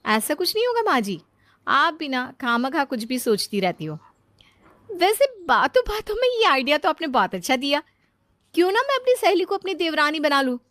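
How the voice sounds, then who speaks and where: A woman's voice: sits high, big pitch swings, moderate pace, a bit bright, no room sound, voice pushed up. A woman voicing a chatty young daughter-in-law in a cartoon story, coaxing and teasing. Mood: coaxing, eager. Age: young adult. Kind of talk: acted cartoon story dialogue.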